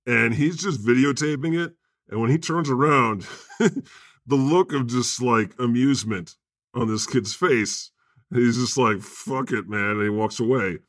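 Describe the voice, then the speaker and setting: A man's voice: medium pitch, measured pace, a bit bright, no echo, studio quality. A man co-hosting a podcast with casual, irreverent, sweary comedic banter about the news. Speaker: podcast co-host.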